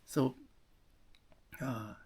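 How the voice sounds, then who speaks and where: A presenter explaining things to viewers in halting, careful English with non-native phrasing. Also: warm tone. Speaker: video presenter.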